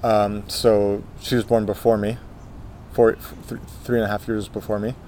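A man in his twenties from Texas, telling his life story in an interview, halting with many fillers and restarts. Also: fairly low pitch, level tone, darkish tone, a little hiss or hum. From Texas, USA. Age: 20s. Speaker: guest, Zen monk.

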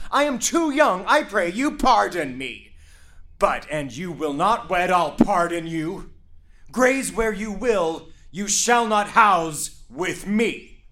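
A man's voice: high voice, slight background noise, exaggerated voice. A man playing a furious, domineering noble father in a Shakespeare reading, blustering and commanding. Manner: blustering, commanding, rises to shouting rants.

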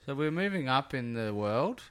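A man with a hoarse, raspy voice hosting a casual podcast from a small home studio, rambling and mocking himself. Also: mid-range voice, sing-song, moderate pace, fairly bright tone. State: hoarse from singing. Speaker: podcast host.

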